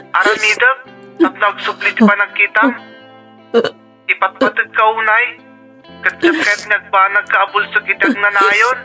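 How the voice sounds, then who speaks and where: Bright, piercing, earnest young daughter. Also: female voice, fairly high pitch. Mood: worried, pleading. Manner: earnest, pleading delivery. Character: earnest, devoted older sibling praying for a younger one. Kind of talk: Ilocano radio drama acting. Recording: radio drama with music underneath.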